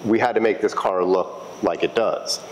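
Guy with a lowish voice, restoration tech chatting casually, repeats himself a bit, steady noise in the background. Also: normal speed. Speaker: car restoration technician. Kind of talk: casual explanatory interview.

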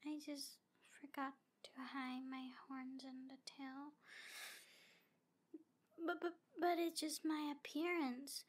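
A woman voicing a jealous, lovesick female demon in an ASMR roleplay, halting and breathy, often slipping toward a whisper. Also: high voice, level tone. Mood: flustered, pleading. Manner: breathy, halting delivery with whispered phrases and giggles. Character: jealous, obsessive female demon in love, taunting and wounded. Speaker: audio roleplay performer.

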